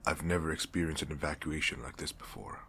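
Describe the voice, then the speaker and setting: A man's voice: a bass voice, steady tone, quick pace, bright, forceful tone, a little hiss or hum, heavily acted. A man playing a stern, impatient manager in a crime ring, with a clipped, exacting delivery, in an audio drama. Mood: formal, disapproving. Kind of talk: scripted audio roleplay acting.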